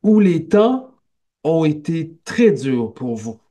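Slow, measured Québécois preacher man. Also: on the high side, big pitch swings, dark tone. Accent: Québécois French. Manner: slow, measured preaching with pauses and rising emphasis. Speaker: pastor. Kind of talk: sermon. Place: small office at home. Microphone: headset on a video call.

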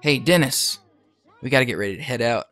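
A woman's voice: rumbling low, lively intonation, brisk, a bit bright, faint music behind. A woman playing a hesitant, plain-spoken young newcomer in an audio drama. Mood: uncertain. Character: hesitant young boy new to a drug crew.

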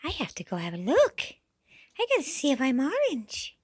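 A woman voicing a cheerful, childlike green dinosaur in pretend play, with a high, squeaky, sing-song voice, gasping, exclaiming and now and then dropping to a whisper. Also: huge pitch swings, normal speed. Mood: unsure. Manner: gasping and exclaiming, asks questions, drops to whispers.

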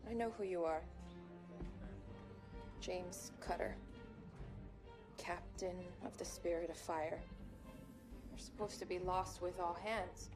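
A woman playing a shaken young female AI hologram in a video game cutscene, telling her story gravely and deliberately. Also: lowish voice. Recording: game audio under loud music.

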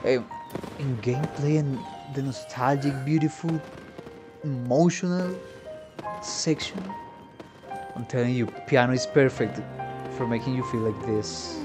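A man's voice: mid-range voice, a little slow, long pauses. A man giving casual, exclamatory, profanity-laced reaction commentary. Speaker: musician reacting to game trailers. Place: small room at home. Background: game trailer music and voices.

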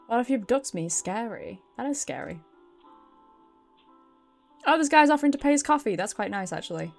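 A woman's voice: a little high, big pitch swings, a little fast, vocal fry, faint music behind. A British woman doing casual, chatty game stream commentary, swearing freely and reacting aloud as she plays.

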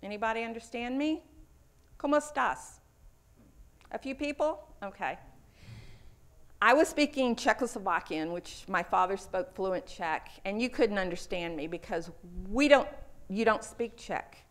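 A woman in her fifties with a Texan accent gives a short talk to a conference audience. She tells personal stories earnestly, with the odd filler and restart, in a voice of ordinary middle pitch. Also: lively intonation, a little slow. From Houston, Texas. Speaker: conference speaker. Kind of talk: lightning talk presentation. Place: conference room.

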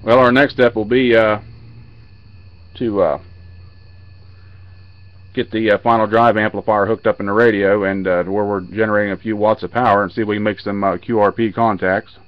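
A man's voice: little pitch movement, normal speed, pauses often, no echo. A middle-aged man giving a casual hands-on demo as a radio hobbyist, recorded on a handheld camera at a workbench. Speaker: amateur radio hobbyist demonstrating equipment. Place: cluttered indoor radio workbench. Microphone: handheld camera mic. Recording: muffled low-fi camera audio, loud radio static. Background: radio static and hiss.

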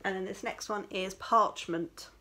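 A woman's voice: medium pitch, fairly even intonation, a little slow, sharp tone, slight background noise. A woman, tired and chatty, showing off yarn from a small craft room at home. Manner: chatty, rambling, with many fillers. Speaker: crochet vlogger. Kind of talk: casual chat, showing yarn.

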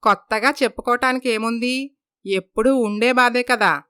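A woman's voice: on the high side, steady tone, normal speed, bright, forceful tone, clear, resonant tone, no room sound, studio quality. A woman voicing a grumbling, sarcastic older mother-in-law in an audio family story. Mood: bitter lament. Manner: sarcastic, complaining.

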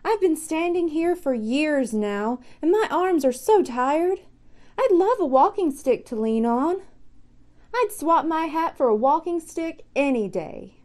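A woman's voice: sits high, animated, unhurried, darkish tone, pitched above the performer's own voice. A woman voicing a weary, polite old scarecrow in a children's storybook, with a wistful, emphatic manner.

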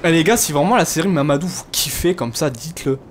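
A man's voice: fairly high pitch, lively intonation, fast, slight background noise. A man clowning through improvised video game roleplay, crude and repetitive in the way he talks. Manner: crude, clowning, repeats himself.

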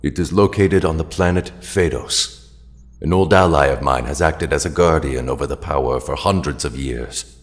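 A man playing an ancient alien mentor in an audio drama, speaking in a grave, measured, formal way. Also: a bass voice. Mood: calm, instructive. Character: ancient, solemn alien mentor to young heroes. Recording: long cavernous reverb.

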